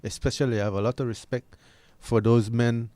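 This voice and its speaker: A man speaks as a studio guest in a mid-pitched voice. He explains his views earnestly, in measured, halting phrases, with a very dry, close sound. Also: fairly even intonation, dark tone, no echo. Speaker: discussion guest. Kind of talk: unscripted opinion and explanation.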